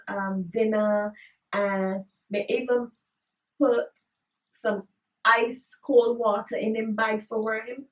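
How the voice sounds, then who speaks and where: A woman's voice: mid-range voice, muffled recording, natural voice. A woman doing a boastful Guyanese woman. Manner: lively, emphatic, creole phrasing. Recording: roomy echo, distant mic.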